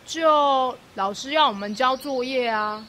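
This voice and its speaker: A woman's voice: high voice, measured pace, a bit bright, a little hiss or hum. A woman playing a bold, curious, thrill-seeking schoolgirl with a Taiwanese accent in a student radio drama. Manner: teasing, eager.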